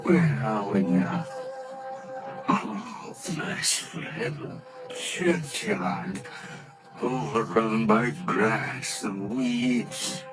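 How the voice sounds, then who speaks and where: Scared old miser, shaky pleading voice, whispers a lot, slow, old radio play. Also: male voice, lowish voice, animated, over music, rough recording. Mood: fearful pleading. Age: older. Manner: trembling, pleading, often whispered. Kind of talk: 1940s radio acting.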